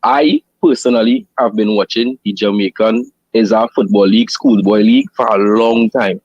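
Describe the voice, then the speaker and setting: A man with a Trinidadian accent calls in, calm and friendly. His mid-range voice is husky. The line is dry and dull, like lo-fi call audio. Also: fairly low pitch, normal speed, no room sound. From Trinidad and Tobago. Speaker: caller. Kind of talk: casual football talk.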